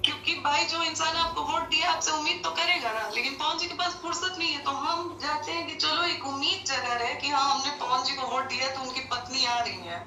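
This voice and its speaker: A high, bright female voice comes through from far off. She argues insistently and with a sense of grievance, firing rhetorical questions in an echoey, faintly noisy call recording. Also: fairly quick, hardly any pauses. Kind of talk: phone call, pleading argument.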